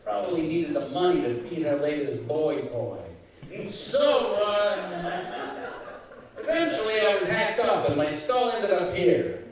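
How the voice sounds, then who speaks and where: Man playing a high-pitched, wisecracking showbiz skull. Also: lively intonation, slow pace, crowd noise, exaggerated voice. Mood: wry. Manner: wry, showbiz patter. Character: talking skull of a washed-up fifties sitcom actor, wry, chatty. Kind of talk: old-time radio comedy acting before a live audience. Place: community center stage, live performance. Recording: very echoey hall, far from mic.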